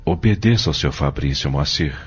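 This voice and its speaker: A man's voice: extremely low pitch, expressive, moderate pace, husky, soft background music, full character voice. A Brazilian man playing a worried, earnest father in an audio drama, courteous and full of questions. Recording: dull lo-fi audio.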